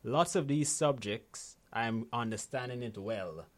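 A man's voice: medium pitch, a little slow. A man from Guyana, a guest coaching on money habits, talking conversationally and often checking in with "right?". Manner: animated storytelling, frequent "right?" tags. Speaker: guest, financial literacy coach. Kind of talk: conversational interview.

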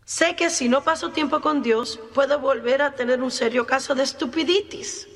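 Mujer predicadora de voz aguda. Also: female voice, high voice, moderate pace. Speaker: preacher. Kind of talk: sermon. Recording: long hall reverb.

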